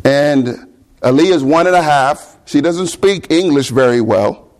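A man's voice: a little high, a little slow, darkish tone. A man in his thirties with a Bahamian accent, preaching a sermon in a conversational way, asking rhetorical questions and often repeating words as he goes.

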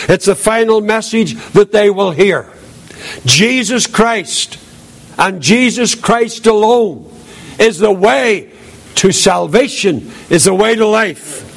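High-pitched man preaching an emphatic sermon. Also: vocal fry. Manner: emphatic, repeats key phrases for weight; earnest, solemn exhortation. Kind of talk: evangelical sermon.

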